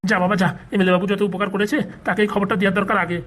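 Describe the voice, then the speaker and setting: A woman's voice: mid-range voice, flat delivery, racing, a bit bright, lightly acted. A woman playing a humble, worried, penniless village father in a comic cartoon, deferential and plaintive. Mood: humble, hesitant.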